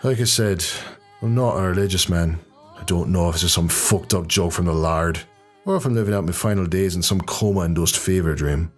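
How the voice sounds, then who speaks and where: A man playing a gruff, sardonic, world-weary hard-drinking rebel in a fan audio drama. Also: deep voice, fairly quick, soft background music. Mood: somber, reflective. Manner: sardonic, blunt.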